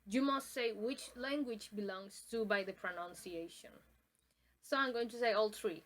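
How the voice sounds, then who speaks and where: Halting young woman presenting a lesson. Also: mid-range voice, a bit bright. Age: young adult. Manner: halting, with many ums and uhs and restarts. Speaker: student presenter. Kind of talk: classroom presentation on pronunciation.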